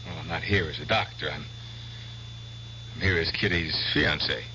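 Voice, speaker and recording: An actor playing an earnest, polite doctor in a radio drama, speaking formally with careful hesitations. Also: male voice, a bass voice, fairly even intonation, normal speed, bright, forceful tone, exaggerated voice. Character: earnest, probing male doctor, polite and measured. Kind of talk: 1970s radio drama acting. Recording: dull, faintly noisy old radio recording.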